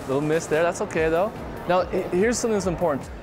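A man in his thirties with a slightly high voice, giving step-by-step instructions and explanations. He sounds encouraging, with music clearly playing behind him in a shopping mall. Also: fast talker. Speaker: TV host and doctor. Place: busy indoor shopping mall. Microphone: lapel mic. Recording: background music and public bustle.

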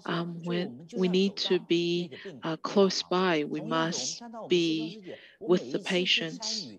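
A woman giving a live English interpretation of a talk, halting often with ums, uhs and restarts. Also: on the low side, dull audio, a crowd in the background. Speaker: simultaneous interpreter. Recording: second voice speaking faintly underneath.

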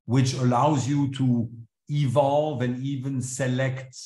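Guy from switzerland, french and english native, doing a science seminar over video call, echoey room, measured explaining. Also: medium pitch, steady tone, warm tone. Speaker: seminar speaker, chemist. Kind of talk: academic seminar lecture. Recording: roomy echo, live online seminar.

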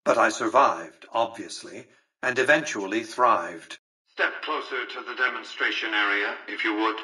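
An actor playing a cold, calculating, arrogant old tycoon in a video game, speaking with formal, condescending precision. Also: male voice, fairly low pitch, normal speed, sharp tone, breathy edge, far from the mic, exaggerated voice, poor audio. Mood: matter-of-fact. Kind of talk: scripted video game character dialogue.

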